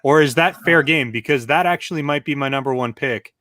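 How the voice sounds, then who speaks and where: A relaxed man talking casually on a gaming podcast, working through his thoughts out loud. His voice sits at an ordinary pitch, and the recording is dry and close. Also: brisk, no room sound. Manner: relaxed, thinks aloud. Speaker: co-host. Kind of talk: casual chat.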